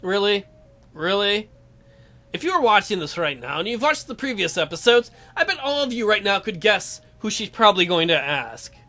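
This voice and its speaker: Animated, sarcastic woman doing let's-play commentary. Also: mid-range voice, normal speed. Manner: joking, sarcastic asides. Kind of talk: casual gameplay commentary and dramatic reading.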